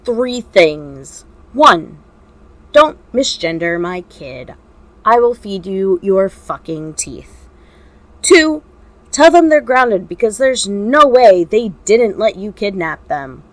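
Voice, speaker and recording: A woman's voice: mid-range voice, big pitch swings, slow, no room sound, rough recording. A woman voicing an exhausted, protective male teacher-hero in fan fiction, sardonic, profane and commanding. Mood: cold threat. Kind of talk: audiobook-style fan fiction character dialogue.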